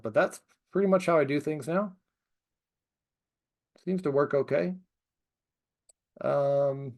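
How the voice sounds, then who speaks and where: A man's voice: medium pitch, creaky. Casual, self-deprecating male instructor. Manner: informal, self-deprecating, often checks in with "okay?". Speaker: trainer teaching students about cold calls. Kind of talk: casual video lesson with commentary.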